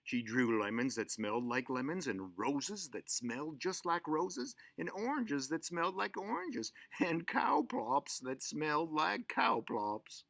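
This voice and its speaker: A man reading a children's story aloud in an expressive, theatrical way. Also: a little high, unhurried, gravelly, hardly any pauses, no room sound. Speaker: storyteller and show host.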